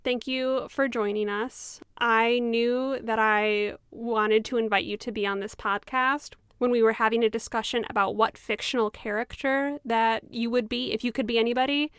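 A woman with a fairly high, smooth, clear voice co-hosts a podcast. She sounds chatty and enthusiastic, and her voice is recorded close and dry with no room sound around it. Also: fairly even intonation, normal speed, hardly any pauses, a bit bright, no room sound. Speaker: podcast co-host. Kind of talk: casual podcast chat.

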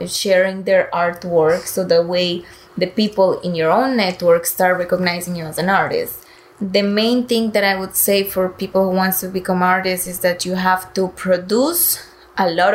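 A woman's voice: on the low side, rough recording. A woman artist answering interview questions in an earnest, reflective, conversational way. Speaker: interview guest, painter.

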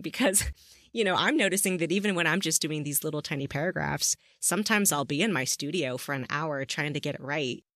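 A woman's voice: on the low side, fairly bright tone, no echo, studio quality. A woman hosting a podcast interview in a casual, effusive and supportive way. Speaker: podcast host.

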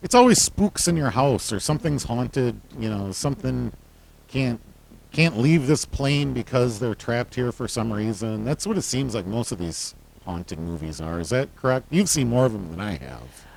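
A man co-hosting a casual podcast. His voice is full, gravelly and carries loudly, and his pitch moves around a lot as he talks. He laughs easily. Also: moderate pace, a little hiss or hum, low-quality recording. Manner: loud, carrying voice; animated, laughs often. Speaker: podcast co-host. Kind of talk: casual chat.

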